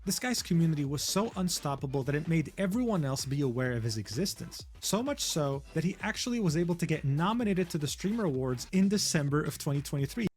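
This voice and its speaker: A man narrating a scripted commentary video in an even delivery. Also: on the high side, quick pace, few pauses.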